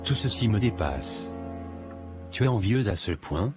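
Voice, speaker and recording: A man playing a disillusioned lover in an animated drama. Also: fairly low pitch, unhurried. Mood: weary, disappointed. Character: disillusioned man in a bitter love quarrel. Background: music.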